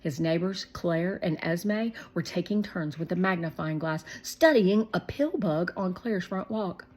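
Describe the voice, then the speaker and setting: A woman narrating a children's storybook in an expressive, deliberate way. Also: fairly low pitch, slightly rough. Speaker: read-aloud narrator.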